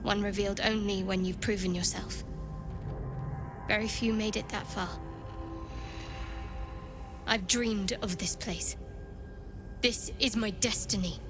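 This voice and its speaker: A woman's voice: mid-range voice, fairly even intonation, slow, pauses often, music in the background. An actress playing a guarded, devout young female cleric in a video game, solemn and determined. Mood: reverent, determined. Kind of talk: dramatic video game acting.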